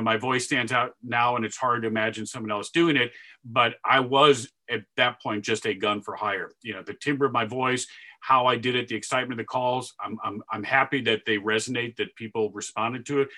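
Bright-voiced man recounting his announcer days. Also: fairly quick. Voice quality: deeper voice. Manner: animated, breaks into loud sportscaster calls. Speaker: video game announcer. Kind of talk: interview storytelling.

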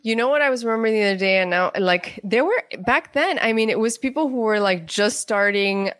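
A middle-aged American woman telling anecdotes as a guest in a casual interview, chatty and quick to act out dialogue. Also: on the high side, fairly bright tone, dry recording.

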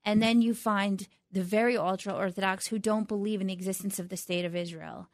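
A woman's voice: mid-range voice, steady tone, dry recording. Reflective woman, dry close sound. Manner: reflective, halting with restarts. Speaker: interview guest, attorney. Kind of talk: conversational interview.

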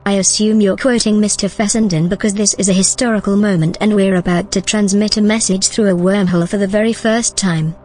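An actress playing a cool, precise, dryly teasing scientist in a science-fiction audio drama. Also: female voice, medium pitch, fairly even intonation, a little fast, few pauses, darkish tone, close to an everyday voice. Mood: dry, composed. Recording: music bed behind the voice.

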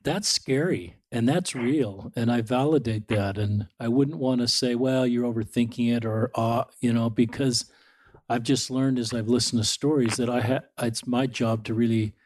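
Man hosting a gentle interview. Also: mid-range voice, fairly even intonation, moderate pace. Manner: gentle, validating, asks several questions in a row. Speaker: podcast host.

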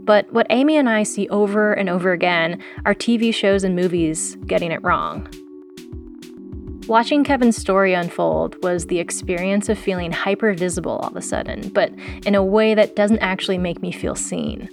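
A woman's voice: mid-range voice, moderate pace, gravelly, soft background music. A woman born in South Korea and raised in the US, hosting an audio documentary in a wry, sardonic voice with pop-culture slang. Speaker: producer and host. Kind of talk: scripted audio documentary narration.

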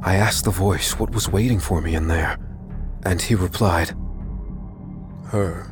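Low-voiced, tense thriller narrator. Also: male voice, a little slow, music in the background. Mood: uneasy, resolute. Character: unassuming armed man hunting a killer, tense but steady. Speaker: narrator and lead character. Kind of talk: first-person audio drama narration.

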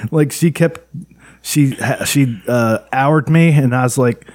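A man's voice: mid-range voice, slow pace, a little mellow, dry recording. A man co-hosting a casual podcast, chatting loosely and laughing often at his own jokes. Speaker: podcast co-host.

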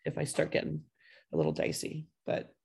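A woman hosting a podcast over a video call from home, her voice hoarse from a cold, chatting casually with plenty of fillers. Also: deep voice, sing-song, fairly quick, a little mellow. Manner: chatty, friendly, loose with fillers. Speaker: podcast host.

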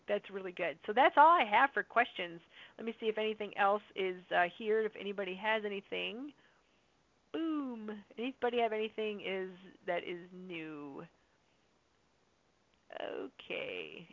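A slightly hoarse woman hosting a call, chatty and upbeat, answering listener questions with lots of fillers. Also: mid-range voice, dry recording. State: slightly hoarse from long talking. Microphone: phone or conference line. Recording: dry tinny phone-line audio.

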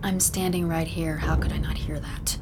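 A woman's voice: low voice, level tone, normal speed, heavy background noise, close to an everyday voice. An actress playing a skeptical, dry-witted young woman in an audio drama, blunt and questioning. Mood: dryly sardonic. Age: young adult. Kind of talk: modern audio drama acting.

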